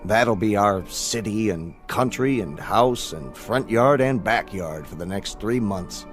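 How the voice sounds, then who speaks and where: An actor playing a confident, reflective astronaut in a radio drama, musing in an assured way. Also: male voice, on the low side, expressive, measured pace, faint music behind. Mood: wistful, musing. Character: confident, reflective male astronaut on eve of launch.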